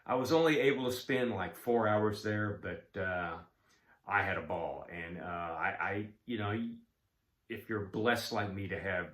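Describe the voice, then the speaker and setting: Slow, deep-voiced man in his seventies. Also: fairly bright tone, echoey room. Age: 70s. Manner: rambling, frequent ums and uhs, folksy. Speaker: card collecting vlogger. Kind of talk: casual chat.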